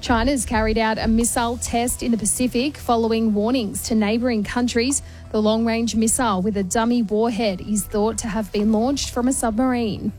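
A woman's voice: a little high, steady tone, darkish tone, soft background music. Woman reading radio news. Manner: crisp, measured delivery. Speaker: newsreader.

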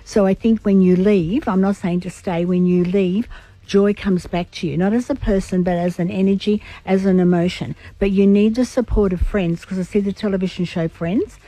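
A middle-aged Australian woman with a croaky voice, giving emphatic advice to callers as a psychic on live radio. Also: medium pitch, fairly even intonation, normal speed, warm tone, dry recording, poor audio.

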